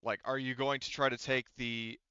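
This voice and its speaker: A man running a tabletop roleplaying game as game master, narrating casually and thinking aloud in a halting way. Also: mid-range voice, flat delivery, sharp tone, smooth, dry recording, dull audio.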